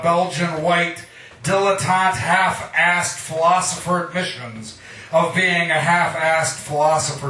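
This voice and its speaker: A man's voice: high voice, bright, forceful tone, recorded from a distance. A man giving a live poetry reading, as a poet and host of the night. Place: live reading venue, indoors. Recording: echoey room, picked up from afar.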